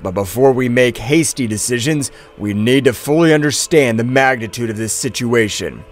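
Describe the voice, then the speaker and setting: A man voicing a calm, solemn high priest who speaks in a grave, measured, formal way, in a fan fiction story. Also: faint music behind, close to an everyday voice.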